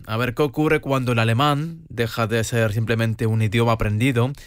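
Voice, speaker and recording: A man hosting a radio talk show, with a smooth presenter delivery that moves into brief replies while he listens. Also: medium pitch, no room sound, clean studio sound. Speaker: radio host.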